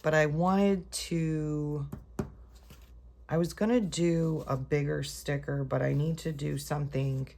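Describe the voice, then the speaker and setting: Congested woman rambling slowly in a low voice. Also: fairly even intonation, gravelly, mellow, slight background noise. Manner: chatty, self-correcting, talks to viewers while working. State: congested, voice a bit off. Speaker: planner vlogger. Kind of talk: casual rambling craft chat.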